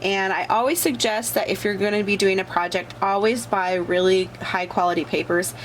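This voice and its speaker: A woman's voice: mid-range voice, fairly even intonation, moderate pace, a little hiss or hum, rough recording. A woman with a bad cold hosting a casual crafting tutorial, talking along chattily with many fillers as she works. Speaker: crafting channel host.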